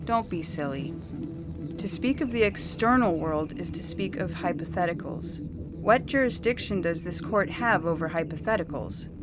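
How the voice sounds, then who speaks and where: A woman's voice: on the low side, lively intonation, moderate pace, lightly acted. Casting: woman as unyielding female judge for sci-fi audio fiction, formal lecturing delivery, prominent music bed. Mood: dismissive, official. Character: coldly official female judge, zealous about majority rule. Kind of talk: dramatized science fiction story reading. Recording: loud music bed under the voice.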